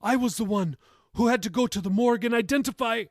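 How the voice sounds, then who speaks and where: A hurt, exasperated grandmother in an audiobook, performed by a man. The voice is pitched up and pleading, full of emotion, recorded very dry. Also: fast talker, dry recording. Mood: distraught. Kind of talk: audiobook character dialogue.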